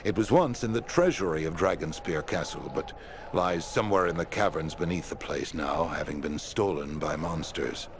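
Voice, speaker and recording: A man playing a shrewd, composed patron in a video game, speaking in a measured, formal, authoritative way. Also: extremely low pitch, noisy background. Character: shrewd, composed male quest-giving patron. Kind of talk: video game dialogue acting.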